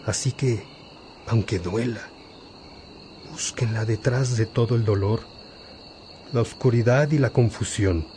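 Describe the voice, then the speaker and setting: Man acting as gentle old bishop, very slow, fatherly exhortation, radio drama, faint background noise. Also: fairly low pitch. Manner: paternal, exhorting, deliberate.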